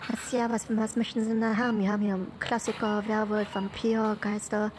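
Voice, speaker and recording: A woman's voice: mid-range voice, flat delivery, a little fast, a little mellow, slight background noise, natural voice. A woman playing a polite, slightly hesitant saleswoman at a shop counter in a comedy sketch. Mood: polite, eager. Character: friendly shop counter saleswoman selling by weight.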